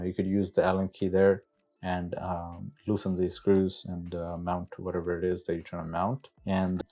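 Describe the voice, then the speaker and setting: A man's voice: deep voice, a little mellow. A man giving a casual product walkthrough to viewers, thinking aloud in a tentative way as he handles the parts. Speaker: product reviewer, motorcycle rider.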